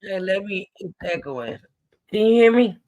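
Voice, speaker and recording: A raspy-voiced woman calls in to a talk show. She speaks bluntly and casually, with plenty of slang, and stands firmly by her opinions. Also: medium pitch, lively intonation, normal speed, darkish tone, lo-fi sound. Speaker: caller. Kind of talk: casual phone-in chat.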